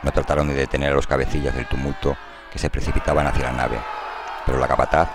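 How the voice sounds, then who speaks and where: A man's voice: a bass voice, flat delivery, fast, crowd noise. A man giving a dramatized literary narration for radio. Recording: prominent music bed under the voice. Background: loud music.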